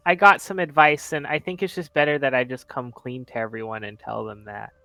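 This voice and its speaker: Man playing a kind, eager, bright-voiced teenage boy. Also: mid-range voice, steady tone, a little fast, dry recording, voice pushed down. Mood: earnest. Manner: earnest, polite, rambling.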